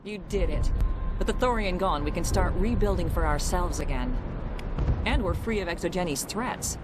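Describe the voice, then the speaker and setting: A woman's voice: lowish voice, lively intonation, normal speed, background noise, close to an everyday voice. An actress playing a grateful, plain-spoken colonist woman in a video game. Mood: relieved. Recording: loud outdoor ambience behind.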